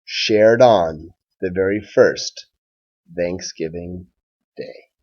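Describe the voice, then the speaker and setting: A man who is a schoolteacher doing a read-aloud for children and talking to pupils in a measured, simple way. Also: low-pitched, a little mellow, muffled recording.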